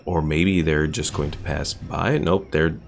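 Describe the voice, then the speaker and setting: A man's voice: rumbling low, fairly even intonation, measured pace, vocal fry, a little hiss or hum. A man streaming a game with casual live commentary, thinking aloud as he plays. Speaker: gaming streamer.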